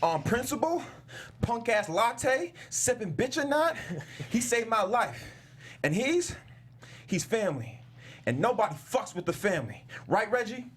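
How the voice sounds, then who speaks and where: A man's voice: high voice, sing-song, a little slow, a bit bright, husky, heavily acted. A man playing a loyal, streetwise male insect in a comedy table read, slangy, sweary and heartfelt. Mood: fiercely resolved. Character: emotional, loyal, streetwise male insect, grieving his friend.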